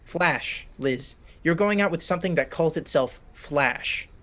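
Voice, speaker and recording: A man's voice: on the high side, expressive, measured pace, a bit bright. A man reading a shy, wisecracking young male student with dry, understated quips in a radio-play script reading. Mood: wry.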